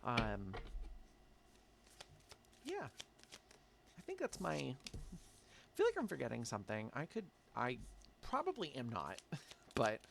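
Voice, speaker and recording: A man's voice: mid-range voice, wildly swooping pitch, slow, vocal fry, long pauses, background noise. A man with an American accent giving a casual tarot reading, sounding congested from allergies and chatty, with frequent "um" and "like". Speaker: tarot reader.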